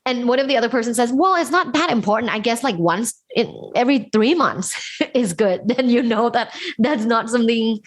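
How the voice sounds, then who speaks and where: A woman's voice: a little high, lively intonation, fairly quick. A woman from Thailand, a guest sex educator, talking candidly in a casual interview, with lots of fillers and frequent laughs. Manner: candid, chatty, laughs often, many fillers.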